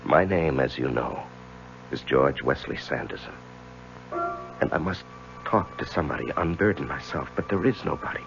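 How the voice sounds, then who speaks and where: An actor playing a troubled middle-aged lawyer in a 1970s radio drama, speaking in a halting, confessional way. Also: male voice, extremely low pitch, gravelly, a bit bright, exaggerated voice. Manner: halting, hesitant, stammering. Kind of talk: 1970s radio drama acting, narrating and in scenes. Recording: dull lo-fi 1970s radio, music underneath.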